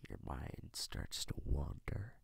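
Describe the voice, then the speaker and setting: A man whispering softly in a reassuring ASMR roleplay, close to the mic, with hesitant pauses. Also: no echo. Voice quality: breathy whisper.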